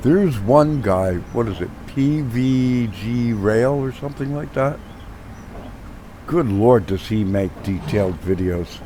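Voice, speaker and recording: A hoarse man hosts his own gameplay channel, talking slowly and casually. He rambles, trailing off into fillers and restarting his sentences, and faint game noise runs underneath his voice. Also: mid-range voice, lively intonation, warm tone. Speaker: gaming channel host.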